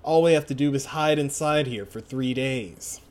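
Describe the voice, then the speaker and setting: A man voicing a calm, calculating villain mentor in a measured, instructive manner. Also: medium pitch, close to an everyday voice. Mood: calm, commanding.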